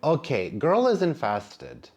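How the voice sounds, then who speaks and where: A man's voice: fairly high pitch, wildly swooping pitch, slow pace, dull audio. A man whose first language is Serbian, narrating a casual plant vlog in a chatty, wry manner with sassy asides. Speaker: plant vlogger.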